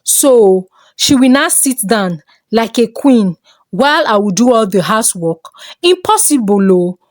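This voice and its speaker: A woman's voice: on the high side, big pitch swings, unhurried, no echo. A woman with a Nigerian accent voicing a scoffing, indignant older mother-in-law in a village folktale.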